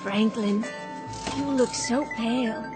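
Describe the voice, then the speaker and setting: A woman's voice: a little high, steady tone, takes it slowly, loud music behind the voice. An actress in a radio drama playing an anxious, long-suffering wife, timid and pleading in her manner. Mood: weary concern.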